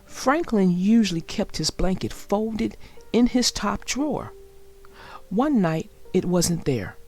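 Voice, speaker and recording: A woman narrating a children's storybook aloud with deliberate, expressive phrasing for young listeners. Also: medium pitch, soft background music.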